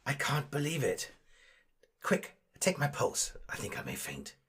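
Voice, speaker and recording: A man's voice: medium pitch, wildly swooping pitch, measured pace, fairly bright tone, husky, full character voice. A man playing a vain, snobbish amateur actor in a comic audio drama, with affected, gushing, pompous delivery. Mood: starstruck.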